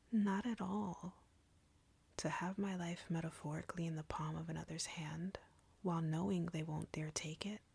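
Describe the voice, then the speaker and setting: Woman acting a whispering, husky, taunting vampire seductress. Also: fairly low pitch, a little mellow, dry recording, full character voice. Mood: amused, teasing, then seductive, thrill-seeking. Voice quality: breathy, husky. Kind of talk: intimate scripted audio roleplay addressed to the listener.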